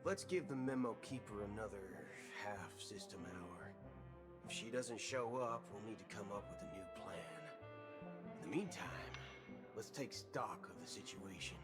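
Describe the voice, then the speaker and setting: An actor playing a shrewd, talkative space ranger in a video game, with a folksy, wry, conversational manner. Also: male voice, lowish voice, expressive, measured pace. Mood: musing. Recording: loud music behind.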